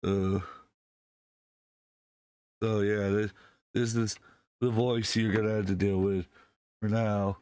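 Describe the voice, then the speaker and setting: Sick guy talking to his viewers, voice super deep n flat, lots of uhs, dry mic that clips. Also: smooth, no room sound. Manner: halting, many fillers and restarts. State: sick, with a deepened voice. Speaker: channel host. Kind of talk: casual chat to viewers. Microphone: desk microphone that clips when too close.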